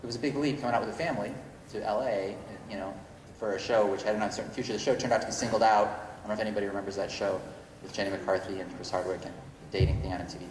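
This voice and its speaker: A man's voice: on the low side, fairly even intonation. Middle-aged man rambling in an echoey hall. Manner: halting, rambling, self-correcting. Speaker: screenwriter guest at convention Q&A. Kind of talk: unscripted panel talk. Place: large indoor convention panel room. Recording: echoey reverberant hall, noticeable noise.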